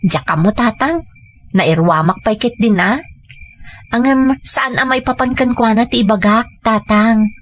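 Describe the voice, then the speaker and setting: A woman's voice: a little high, measured pace, a little hiss or hum, lightly acted. A woman with an Ilocano accent playing a humble, determined married daughter and mother in an audio drama. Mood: hesitant, pleading.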